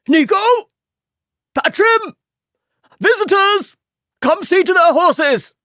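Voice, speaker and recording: A woman's voice: high-pitched, expressive, moderate pace, no room sound, clean studio sound, voice pushed up. A woman voicing a chattering, easily flustered village man in an audiobook reading. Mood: bellowing. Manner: breathless gossiping, stammering when nervous.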